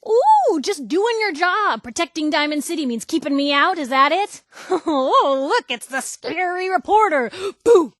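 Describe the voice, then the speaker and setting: A woman's voice: very high-pitched, big pitch swings, measured pace, hardly any pauses, fairly bright tone, dry recording, studio quality, exaggerated voice. An actress playing a spirited, nosy young woman reporter in a video game, talking in a wry, inquisitive, punchy and colloquial way. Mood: mocking. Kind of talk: video game companion dialogue.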